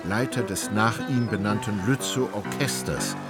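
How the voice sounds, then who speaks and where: A wry literary narrator, played by a man telling a radio drama. His voice is fairly low and measured, laced with irony, and prominent music runs beneath it. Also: natural voice. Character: ironic, literary male narrator. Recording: loud music under the narration.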